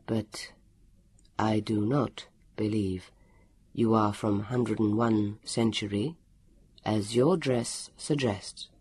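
A man voicing a strong, relentless male interrogator in an audiobook, speaking calmly and politely in stilted, formal words. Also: fairly low pitch, slightly rough, no room sound, pitched below the performer's own voice. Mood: calm interrogation. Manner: calm, polite, measured, stilted formal wording. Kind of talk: audiobook character voice.

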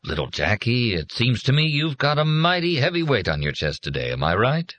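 A man voicing a warm, sympathetic, fatherly teaching machine that speaks gently. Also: mid-range voice, very animated, fairly quick, no room sound, voice pushed up.

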